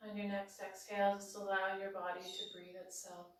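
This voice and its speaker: A woman leading a guided breathing meditation at the end of a yoga class, giving slow, soothing instructions. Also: mid-range voice, flat delivery, a little hiss or hum. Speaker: yoga teacher. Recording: very roomy, from far off.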